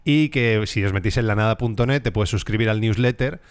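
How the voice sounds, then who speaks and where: A man's voice: fairly low pitch, brisk, clean tone, dry recording. A man hosting a podcast in casual, rambling chat, joking a lot and filling his talk with hesitations. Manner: rambling, jokey, many fillers. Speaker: podcast host.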